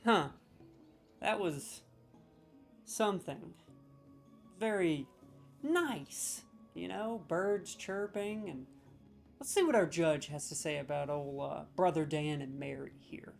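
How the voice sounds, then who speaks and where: A man's voice: on the high side, slow pace, gravelly, long pauses, faint music behind. A man hosting a comedic video, ranting sarcastically with mock outrage as he scores game voice lines.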